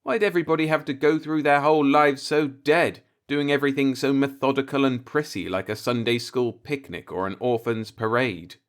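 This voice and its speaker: A man's voice: medium pitch, normal speed, hardly any pauses, natural voice. A man voicing a cynical, musing older working-class man, a self-taught professor, in a folksy, rhetorical audiobook monologue. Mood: questioning, exasperated.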